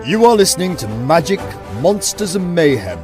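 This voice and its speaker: A man's voice: a little high, very animated, normal speed, loud music behind the voice. A man reading a scripted, dramatic announcement as the announcer of an audio drama.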